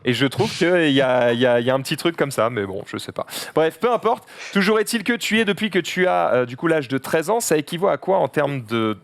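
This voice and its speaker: A man's voice: on the high side, very animated, fairly quick, creaky, few pauses, dry recording. A man hosting a casual stream interview, asking questions playfully and stumbling over his words. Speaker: host.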